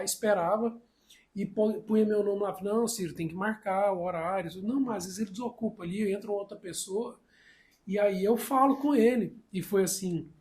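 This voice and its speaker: A man from Brazil co-hosting a casual podcast, chatty and telling stories with easy laughter. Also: sits high. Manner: chatty, anecdotal, laughs easily.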